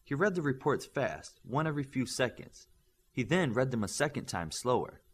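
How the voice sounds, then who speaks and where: A man's voice: medium pitch, big pitch swings, moderate pace, breathy edge. A man reading a scripted story aloud as an audiobook narrator. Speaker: audiobook reader. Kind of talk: scripted fiction narration with character voices.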